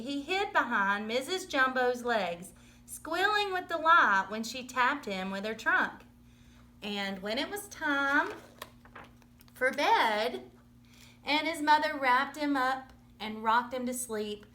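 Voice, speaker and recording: A woman reading a children's story aloud in an expressive, dramatic storytelling manner. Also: on the high side. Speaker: storybook reader.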